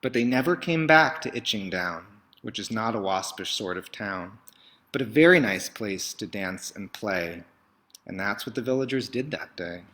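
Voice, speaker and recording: A man reading a children's picture book aloud in an expressive, theatrical way, with emphatic swells and dramatic hushes. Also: fairly low pitch, normal speed.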